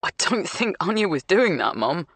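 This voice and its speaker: A woman's voice: fairly low pitch, sing-song, normal speed, husky, no room sound, heavily acted. A woman voicing a conciliatory young man caught between family members. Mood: pleading. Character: placating young man, harried son and partner.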